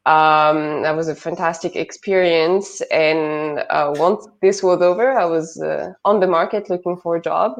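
A woman's voice: low voice, lo-fi sound. A young woman with a French accent, a guest in an interview, talking with many fillers and restarts. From northern France. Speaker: guest, product manager. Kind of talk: interview conversation.

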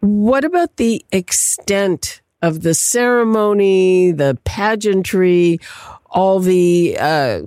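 Female radio host, unhurried, measured questioner, dry close sound. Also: medium pitch, expressive, warm tone, dry recording. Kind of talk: radio interview.

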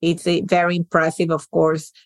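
A woman's voice: on the low side, monotone, normal speed, no room sound. A woman hosting an interview podcast, talking in an encouraging way with many restarts and fillers. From outside the US. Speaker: podcast host. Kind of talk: conversational interview.

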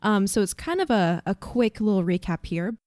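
A woman's voice: mid-range voice, very animated, normal speed, a little mellow, no echo, clean studio sound. A woman co-hosting a fan podcast who steers the talk into a careful, organized recap in casual chat. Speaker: podcast co-host.

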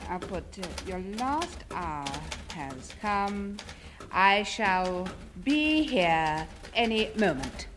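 An actress playing a practical, unflustered secretary in a 1950s radio comedy. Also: female voice, mid-range voice, very animated, extremely slow, fairly bright tone, full character voice. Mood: matter-of-fact. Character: practical, unflustered secretary looking after her boss. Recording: noisy old radio recording.

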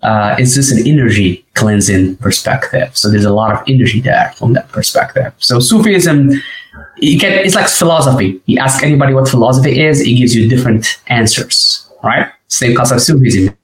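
A man with a Moroccan accent explaining things conversationally, often repeating and rephrasing himself as he goes. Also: medium pitch. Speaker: podcast guest. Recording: roomy echo with background noise.

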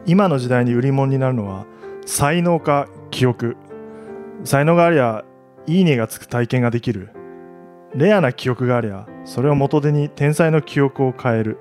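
A man's voice: medium pitch, moderate pace, a little mellow, close to an everyday voice. Jaded college guy, acted by a man for radio drama: offhand, resigned, mid-range, faint plucked guitar underneath. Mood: sulky resigned. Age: young adult. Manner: blunt, offhand, cynical. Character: jaded, sulky young male university student.

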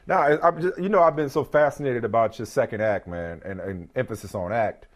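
A man's voice: mid-range voice, a little fast. A man hosting an interview, opening questions and backing the guest with frequent agreement. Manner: warm-up questions, frequent "yeah" and "hmm" backchannels. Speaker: host. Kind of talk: casual interview talk.

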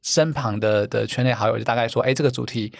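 Brief: young man, rattles along fast and chatty as an interview guest, mid-range voice. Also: medium pitch, vocal fry, dry recording, faint music behind. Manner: quick, chatty, rambling with restarts. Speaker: podcast guest, dating event founder. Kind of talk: casual interview chat.

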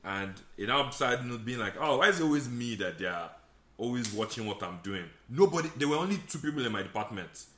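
A man from Nigeria chatting casually with friends, his voice bright and sharp-edged and his pitch swinging widely as he tells animated stories. He laughs often and acts out what other people said. The room is roomy and echoey. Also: brisk, a little hiss or hum. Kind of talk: casual chat, storytelling.

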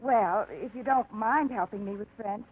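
An eager, slightly flustered small-town woman, played by an actress in an old radio play. Her medium-pitched voice comes through a dull, muffled broadcast with faint hiss. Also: moderate pace, close to an everyday voice. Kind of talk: 1950s radio drama acting. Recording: tinny, hissy 1950s radio broadcast.

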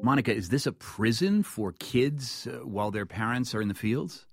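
A man's voice: mid-range voice, animated, moderate pace, gravelly, no room sound, soft background music. A man hosting a radio interview, putting serious news questions to a guest.

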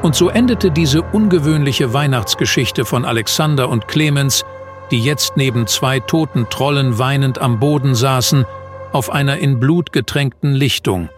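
A man's voice: mid-range voice, moderate pace. A man reading scripted storybook narration as narrator of a comic Christmas audio play. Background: music.

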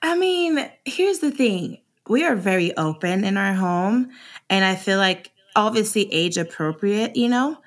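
A woman's voice: medium pitch, animated, a little slow. A woman in her thirties from Sweden, a podcast guest talking openly and casually with frequent laughs and fillers. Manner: open, laughs easily, many fillers.

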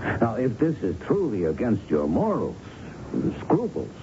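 A man's voice: mid-range voice, huge pitch swings, a little slow. An actor playing a slick, pushy mob go-between in a 1970s radio drama, talking in streetwise, wheedling salesman patter. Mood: pushy, persuasive. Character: slick, pushy, smooth-talking male mobster go-between, persuasive. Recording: dull, lightly noisy 1970s radio recording.

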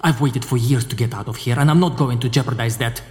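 A man performs an anxious male prison worker for an audio drama. He is guarded and earnest at a medium pitch. The voice rings in a long, reverberant hall. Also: fairly even intonation, fast talker, darkish tone, natural voice. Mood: indignant, anxious. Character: anxious, guarded male prison employee secretly plotting escape.

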